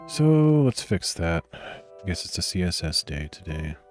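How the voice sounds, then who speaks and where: Male streamer, very low and grainy, soft-spoken, rambling think-aloud delivery with frequent throat clearing. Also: big pitch swings, a little slow, soft background music. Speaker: streamer coding live. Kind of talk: casual thinking aloud while coding.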